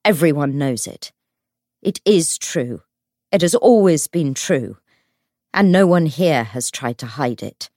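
A woman's voice: deep voice, animated, slow, warm tone, no room sound, studio quality. A woman voicing a defiant young man in an audiobook, speaking with cold, emphatic contempt. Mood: contemptuous defiance.

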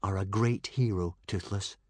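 A man's voice: low-pitched, steady tone, unhurried, warm tone, no echo. A man voicing an eager young boy hero in a storybook reading. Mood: calm fond. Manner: enthusiastic.

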